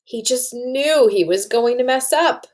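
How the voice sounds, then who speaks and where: A woman's voice: fairly high pitch, unhurried, a little mellow. A woman reading a storybook aloud to children and explaining it like a teacher, with questions to the listeners.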